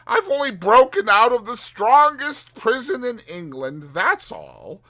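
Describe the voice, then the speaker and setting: A man voicing a puffed-up, boastful toad gentleman in a storybook, with swaggering, theatrical emphasis. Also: very high-pitched, sing-song, measured pace, pitched above the performer's own voice, exaggerated voice. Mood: smug boasting.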